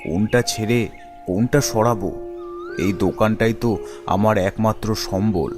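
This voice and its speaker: Low-pitched middle-aged village shopkeeper played by a male narrator, kind, worried, slow musing delivery, gentle, animated story. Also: over music, lightly acted.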